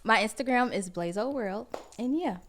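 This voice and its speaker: A woman's voice: on the high side, expressive, moderate pace, a little hiss or hum. Upbeat twenty-four-year-old woman. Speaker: podcast guest. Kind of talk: casual podcast chat.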